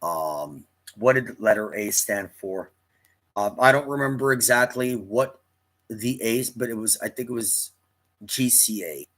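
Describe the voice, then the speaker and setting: A man in his fifties reminiscing casually in a rambling, halting monologue, often repeating words as he goes. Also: on the low side, a bit bright. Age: 50s. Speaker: storyteller recounting military service.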